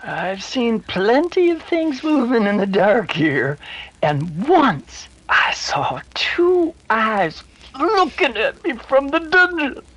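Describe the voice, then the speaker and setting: Woman playing jittery folksy servant, husky, swooping pitch, superstitious, hesitant, excitable, old radio drama. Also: slow, exaggerated voice, low-quality recording. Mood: spooked. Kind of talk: recreated 1930s radio drama acting.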